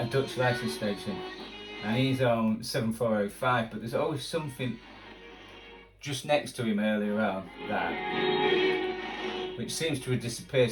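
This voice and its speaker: Man, low voice, radio hobbyist, casual rambling commentary, hesitant, distant mic, music in background. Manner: rambling, hesitant, thinking aloud. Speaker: shortwave radio hobbyist presenter. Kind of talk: casual unscripted commentary while tuning a radio.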